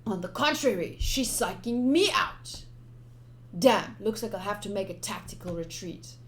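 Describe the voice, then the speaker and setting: A woman's voice: mid-range voice, unhurried. A woman game streamer giving a playful, theatrical read-aloud of a visual novel with casual commentary. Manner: expressive, playful, theatrical reading. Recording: game music behind.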